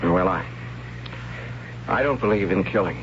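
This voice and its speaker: An actor playing a gentle, questioning young tribesman in a 1970s radio drama, earnest and hesitant. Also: low voice, unhurried, background noise. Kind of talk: 1970s radio drama acting, narrating and in character. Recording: dull lo-fi 1970s radio recording.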